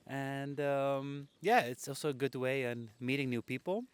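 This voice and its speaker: A man's voice: mid-range voice, steady tone, a little slow, clean tone, no room sound. A man hosting a conversational podcast interview outdoors on a public bench, talking casually.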